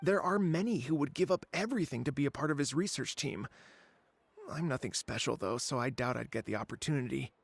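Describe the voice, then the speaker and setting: A man's voice: mid-range voice, a little fast, vocal fry, husky. An actor playing an unambitious, chatty young male academic in a video game. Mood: helpful, modest.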